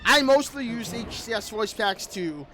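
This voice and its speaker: A man talks casually as a gaming creator. He rambles through his review and returns to points he has made. Music plays clearly behind his voice. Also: high-pitched, expressive, normal speed, bright, forceful tone. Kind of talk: casual spoken game review commentary. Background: background music.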